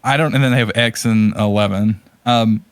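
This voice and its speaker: A man's voice: lowish voice, steady tone, moderate pace, smooth, dry recording. Casual, rambling man on a close, dry mic. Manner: rambling, thinking aloud, enthusiastic. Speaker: podcast co-host. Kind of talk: casual chat about video game movies.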